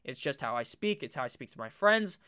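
A man's voice: medium pitch, a little fast, smooth. A young man talking casually to his webcam about a fantasy football draft, swearing freely, his voice a little nasal. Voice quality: slightly nasal. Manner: swears freely, chatty and animated. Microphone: webcam setup.

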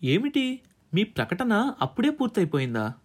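A male managing director in an audiobook story, read by a man. He is calculating and ingratiating, talking in a fairly high, lively and persuasive voice. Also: normal speed, heavily acted.

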